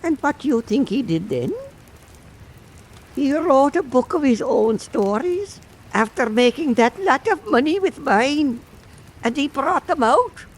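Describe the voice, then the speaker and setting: A man's voice: very high voice, animated, a little slow, full character voice. An actor playing a shaky, boastful old half-blind storyteller man in a radio drama. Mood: proud, reminiscing.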